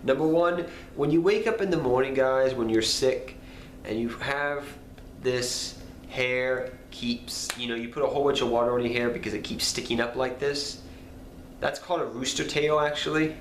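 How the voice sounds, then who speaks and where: A man's voice: medium pitch, moderate pace. A man with a cold, sounding nasal, talking casually and rambling to his channel viewers. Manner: rambling, repeats himself, apologetic. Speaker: card game channel host. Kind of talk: casual talk to camera. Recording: echoey room, faint background noise.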